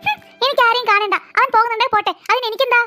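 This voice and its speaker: A woman's voice: very high voice, fairly even intonation, rapid-fire, pushed and bright, soft background music. A woman voicing a sulky, weary little girl in a children's cartoon, petulant and whiny. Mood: sulky, irritated.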